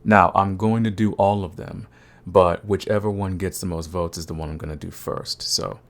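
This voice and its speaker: Deep, creaky-voiced man, casual creator. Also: fairly even intonation, normal speed. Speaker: audio roleplay creator, channel host. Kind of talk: casual rambling channel update.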